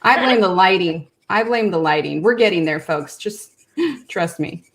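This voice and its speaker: A loud, bubbly woman colors along on a live stream from home. She chats freely, laughs a lot, and pokes fun at her own voice. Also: lowish voice, expressive, moderate pace, low-quality recording. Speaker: guest co-host on a coloring stream. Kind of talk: casual live-stream chat.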